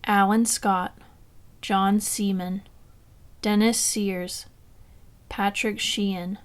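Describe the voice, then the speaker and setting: Lady reading out a roll call of names, slow and flat, really clean smooth voice. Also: medium pitch. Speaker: reader of a memorial roll call. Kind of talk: formal read-out list of names.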